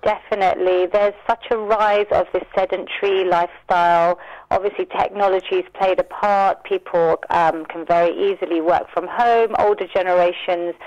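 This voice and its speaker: A woman's voice: fairly low pitch, fairly even intonation, normal speed, darkish tone, no echo, highs cut off. A British woman giving informative, earnest answers as a guest in a radio interview.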